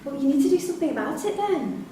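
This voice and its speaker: An actress playing a firm, weary prison course leader with an exasperated, authoritative manner in a staged reading. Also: female voice, sits high, animated, quick pace, warm tone. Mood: curious, brisk. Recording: distant echoey hall recording, faint noise.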